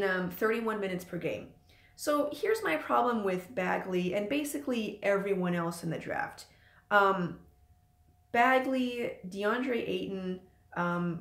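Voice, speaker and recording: Late 20s woman rambling on a live stream, lots of ums, middle pitch, kinda echoey room. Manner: chatty, loose and rambling with many ums. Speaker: channel host. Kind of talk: casual live-stream chat. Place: room at home.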